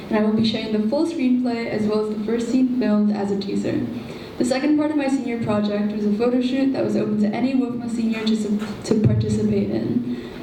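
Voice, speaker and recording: A woman's voice: mid-range voice, dark tone, a little hiss or hum. A young woman in her late teens who gives a prepared introduction to her student project. She speaks in a composed way, reading from notes. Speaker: student presenter. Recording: very echoey hall from a distant mic.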